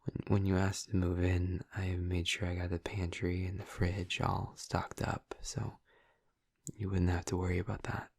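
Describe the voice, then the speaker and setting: A man playing a gentle, flirty, earnest vampire in an intimate audio roleplay, murmuring softly and hesitantly in a breathy, gravelly voice. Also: deep voice, monotone, dry recording, clean studio sound, heavily acted. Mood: warm, inviting. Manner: soft, hesitant, halting, reassuring.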